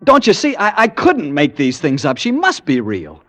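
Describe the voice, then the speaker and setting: A man's voice: on the high side, huge pitch swings, normal speed, fairly bright tone, dry recording, heavily acted. An actor playing a desperate, earnest young American man, narrating and pleading in 1940s radio suspense style. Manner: earnest, nervy, dramatic. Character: anxious, desperate young man hunting his missing fiancee. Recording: vintage radio drama, music behind.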